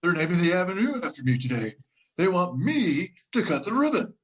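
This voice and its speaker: A man's voice: sits high, big pitch swings, brisk, breathy edge, exaggerated voice. A man playing an earnest, slightly smug ad agency partner in a comic radio play, speaking emphatically.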